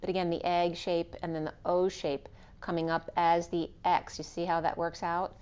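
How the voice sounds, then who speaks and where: A woman with a hoarse, fading voice giving an unscripted spiritual tarot reading, meandering and correcting herself as she lists cards and numbers. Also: lowish voice, little pitch movement, moderate pace, darkish tone, lo-fi sound. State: losing her voice. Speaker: tarot reader.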